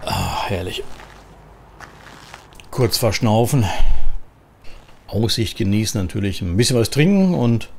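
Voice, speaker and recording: A man from Germany giving casual, chatty commentary as he plays a trucker in a driving simulator, thinking aloud in a relaxed way. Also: on the low side, measured pace, long pauses. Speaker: gaming video host playing a trucker. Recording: clear engine and vehicle noise behind. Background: truck simulator game sound.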